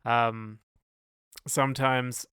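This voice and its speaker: Crisp, bright-voiced man thinking aloud. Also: medium pitch, flat delivery, extremely slow, no echo, clean studio sound. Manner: hesitant, hedging, thinking aloud. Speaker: podcast co-host. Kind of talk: casual discussion of song lyrics.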